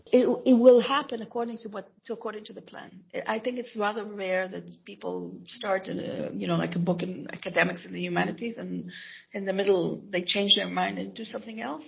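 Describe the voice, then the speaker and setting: A woman's voice: medium pitch, fairly even intonation, fairly quick, vocal fry, husky, dull audio. A middle-aged woman whose first language is Hebrew, an author answering interview questions in a hesitant, reflective way with many restarts.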